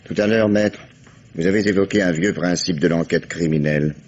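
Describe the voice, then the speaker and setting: An actor playing a sardonic, authoritative police commissioner in a 1950s-style French radio mystery, speaking in a measured, methodical way. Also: male voice, deep voice, a little mellow, husky. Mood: dry, firm. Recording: dull, muffled vintage-style radio sound.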